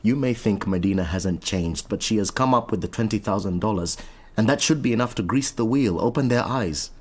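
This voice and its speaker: A man's voice: on the low side, brisk, darkish tone, slightly rough. An actor playing a middle-aged father in a radio drama, stern and exasperated, reproachful yet earnest with his estranged son.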